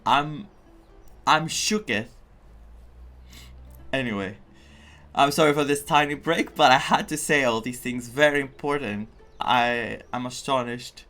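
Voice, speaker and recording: A man's voice: medium pitch, measured pace, pauses often, bright, forceful tone. A man giving casual, reflective reaction commentary as a game streamer, earnest and emphatic.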